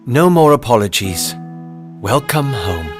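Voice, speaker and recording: A devoted young gentleman from an animated game. His medium-pitched voice is gentle, formal and heartfelt, with loud orchestral music behind it. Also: a little slow. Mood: tender, sincere. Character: devoted, gentle, dignified young man, loyal servant. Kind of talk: animated game cutscene acting.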